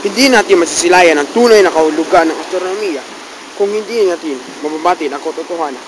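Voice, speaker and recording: A man plays a proud, envious young noble rival with a fairly high voice. The take is noisy and recorded outdoors, with a stream and passing traffic behind him. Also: fast talker, close to an everyday voice. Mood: reasoning. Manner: boastful, taunting. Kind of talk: amateur student drama acting.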